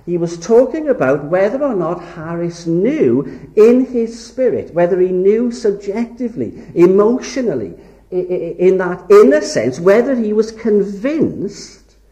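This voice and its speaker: A man preaching in an echoey hall, his voice mellow and dark yet swinging widely in pitch. He speaks earnestly, stretching out key words for emphasis. He grew up in Wales. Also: sing-song, unhurried. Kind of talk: sermon lecture.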